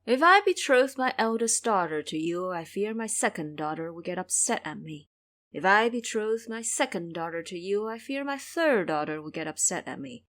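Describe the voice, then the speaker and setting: A woman's voice: mid-range voice, lively intonation, normal speed, fairly bright tone, close to an everyday voice. A woman playing a shrewd, bustling matron of a rich household in an audio drama, fussy and commanding. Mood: coy, calculating.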